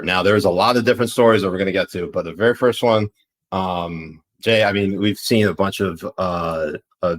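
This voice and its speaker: A man's voice: deep voice, fairly bright tone. A man with a Pakistani accent co-hosting a live political chat show, rambling with many fillers. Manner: rambling, filler-heavy, laughs easily. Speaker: podcast co-host.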